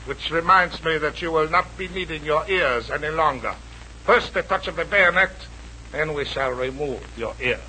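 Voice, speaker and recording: An actor playing a cruel, pompous Japanese army officer in a 1940s radio adventure serial, menacing and mockingly formal. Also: male voice, on the high side, moderate pace, sharp tone. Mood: coolly menacing. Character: cruel, sneering Japanese army major, villain. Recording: noisy old radio broadcast.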